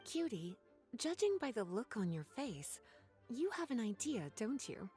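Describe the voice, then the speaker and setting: A woman's voice: fairly high pitch, very animated, unhurried, darkish tone, soft background music. A woman playing a teasing, languid, bookish woman in a video game, with a playful, coaxing manner. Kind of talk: English video game voice acting.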